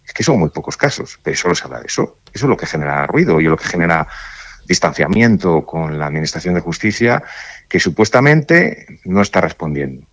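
Deep-voiced man, halting and thoughtful. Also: sing-song, no echo. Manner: thoughtful, halting, many restarts and fillers. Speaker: judge interviewed on radio. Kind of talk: radio interview answers.

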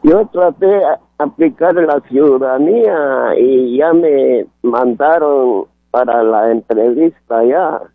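A man from Guatemala calling in to a radio show, speaking haltingly about his case. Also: medium pitch, no room sound. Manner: hesitant, halting. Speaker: caller. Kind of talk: phone call to radio show. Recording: tinny phone line.

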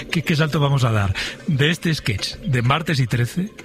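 A man's voice: mid-range voice, expressive, takes it slowly, a bit bright, faint music behind. A man hosting a radio quiz, bantering and chuckling as he goes. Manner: jokey, chuckling. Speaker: radio show host. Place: radio studio.

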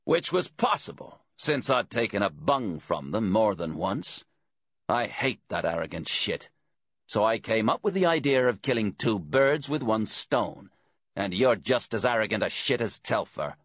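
Bent detective inspector for a thriller audiobook, done by a male narrator: smug, taunting, husky, swooping pitch. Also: very animated, a little slow, fairly bright tone, no room sound, studio quality, heavily acted. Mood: smug, bitter. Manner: cheerfully taunting, casual.

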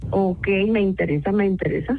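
A woman's voice: medium pitch, fairly quick, vocal fry, faint music behind. Woman playing along on a muffled line. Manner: playing along, laughing often. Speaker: caller answering a phone line.

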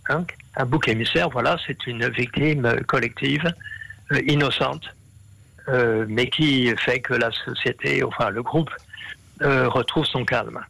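A man's voice: medium pitch, steady tone, unhurried, gravelly, sharp tone, no echo, low-quality recording. A man explaining ideas at length in an interview, with many fillers and restarts. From half Brazilian. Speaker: interview guest, scholar.

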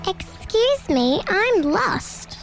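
A woman's voice: very high voice, sing-song, drawn-out words, vocal fry, faint music behind, full character voice, rough recording. A woman voicing a tiny, timid young furry creature in a children's audio story, speaking in a tentative, childlike way. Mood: small, pleading.

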